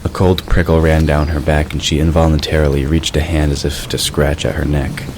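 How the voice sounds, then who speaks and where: A man narrates a fantasy audio drama in an extremely low voice. The delivery is scripted storytelling, with clear noise in the background. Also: little pitch movement, a little fast.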